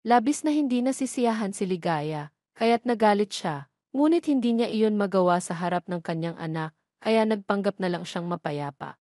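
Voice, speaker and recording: Female narrator, mid-pitched, very dry recording, for scripted romance storytelling. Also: expressive, moderate pace, mellow, no room sound.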